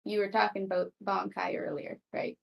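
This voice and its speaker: Woman, mid-pitched, creaky fry, casual roleplay player, conversational. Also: flat delivery, moderate pace, dull audio. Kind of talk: casual online roleplay chat.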